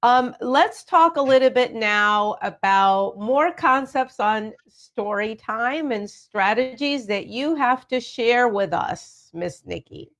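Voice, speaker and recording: Higher-voiced woman hosting, encouraging manner. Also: lively intonation, takes it slowly, few pauses, fairly bright tone. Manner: encouraging, affirming, addresses listeners directly. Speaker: radio show host. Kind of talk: hosted educational talk for families.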